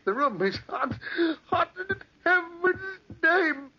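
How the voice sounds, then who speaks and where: A man's voice: very high-pitched, sing-song, takes it slowly, lo-fi sound, heavily acted. An actor playing a terrified, helpless old man, quavering and pleading in a 1940s radio horror drama.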